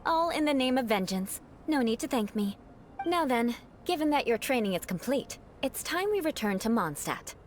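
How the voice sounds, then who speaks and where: A woman's voice: on the high side, big pitch swings, normal speed, slight background noise. A woman playing a haughty young knight in a video game, formal and theatrically stern, with talk of revenge that masks a caring side. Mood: teasing, mock vengeful.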